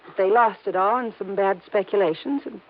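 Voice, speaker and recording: A woman's voice: mid-range voice, fairly quick, natural voice. A distraught young wife from an old radio crime drama, played by a woman, pleading and emotional. The sound is thin and tinny, like a narrow old broadcast. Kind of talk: 1950s radio drama acting.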